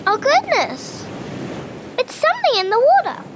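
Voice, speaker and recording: Actor doing a little girl, super high n slow, sweet innocent, loud seaside noise behind. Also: huge pitch swings, full character voice. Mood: worried. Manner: innocent, slow, wide-eyed. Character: earnest, kindly young schoolgirl in radio adventure.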